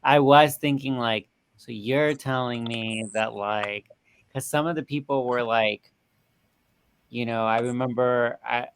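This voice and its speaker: A man chatting casually about film as a panelist, halting as he thinks aloud. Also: mid-range voice, slight background noise. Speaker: panelist on a film review show.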